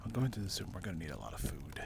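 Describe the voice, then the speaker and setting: A man voicing a brave but wary barbarian recruiter in a dark fantasy ASMR roleplay, speaking in a hushed, halting way. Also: sits low, sing-song, gravelly, very noisy, exaggerated voice. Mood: cautioning, gruff. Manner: slow, hushed, halting delivery with pauses. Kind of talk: ASMR roleplay, whispered and softly spoken.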